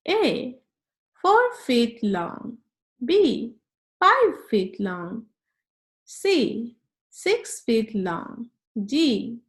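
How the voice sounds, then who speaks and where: Woman for children's read-aloud and quiz: very slow, lively pitch swings, slightly high voice, clear teacherly address. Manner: deliberate, instructional, addressing young listeners directly.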